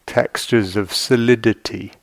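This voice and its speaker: A man guiding a meditation as a teacher, speaking gently and in measured short phrases with long pauses. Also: on the low side, fairly even intonation, dry recording. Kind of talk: guided meditation. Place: meditation retreat hall.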